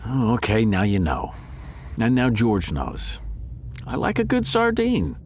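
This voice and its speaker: An actor voicing a sly, vain talking cat who narrates an audio drama in a wry, confiding manner. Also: male voice, on the low side, huge pitch swings, measured pace, slight background noise. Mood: wry, smug.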